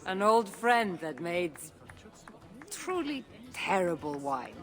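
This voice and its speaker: A woman's voice: fairly low pitch, sing-song, slow. A woman playing a confident, playful Greek warrior adventurer in a video game, with a Greek accent. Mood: tipsy, playful. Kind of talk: video game cutscene dialogue.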